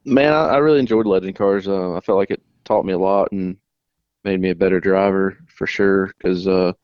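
Low-voiced young man. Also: clear, resonant tone, no room sound, muffled recording. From North Carolina. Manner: relaxed, halting, many restarts. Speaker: race car driver guest. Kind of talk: casual interview answers.

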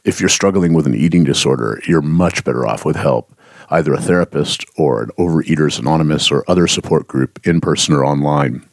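A male radio co-host reading a scripted announcement in an earnest, deliberate and caring way. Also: very deep voice, gravelly, no echo, clean studio sound.

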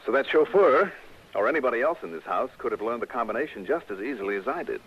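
An actor playing a shrewd, self-assured male investigator in a 1960s radio drama, smooth-talking and deliberate. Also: medium pitch, lively intonation, lightly acted. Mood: shrewd, intent. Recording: dull, noisy vintage radio recording.

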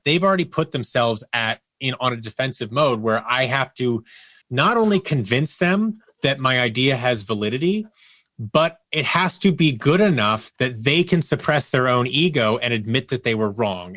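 A man with a mid-pitched voice talks casually as a guest in an interview. He tells anecdotes with emphasis, slipping into quoted dialogue as he goes. The sound is dry and close. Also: a bit bright, no room sound. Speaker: podcast guest, audio producer.

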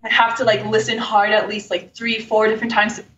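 A woman from Tokyo explaining language in a casual, conversational way, informal and quick to laugh. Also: completely flat delivery, a bit bright. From Tokyo, Japan. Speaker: guest explaining Japanese language. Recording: roomy, distant, muffled mic with rumbling noise.

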